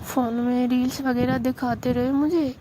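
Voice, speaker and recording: Young woman, high voice, flat delivery, confiding, phone call, hissy line. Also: moderate pace. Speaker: caller. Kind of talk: phone call, recounting a story. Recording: phone call recording with hiss.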